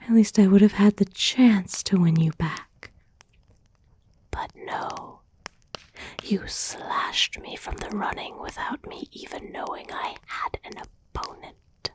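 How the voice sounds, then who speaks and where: A woman playing an obsessive, wounded, possessive girlfriend in an intimate, halting, pleading ASMR whisper. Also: mid-range voice, darkish tone, close to an everyday voice, low-quality recording. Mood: hurt, bitter. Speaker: ASMR voice actor. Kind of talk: whispered ASMR roleplay monologue.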